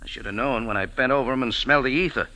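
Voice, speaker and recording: An actor playing a wry, tough adventurer in an old radio drama, sardonic and punchy in dialogue and narration. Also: male voice, mid-range voice, racing, pushed and bright, close to an everyday voice, poor audio. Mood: sardonic, accusing. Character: wry, tough hard-boiled adventurer hero. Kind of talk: 1940s-50s radio detective acting and narration.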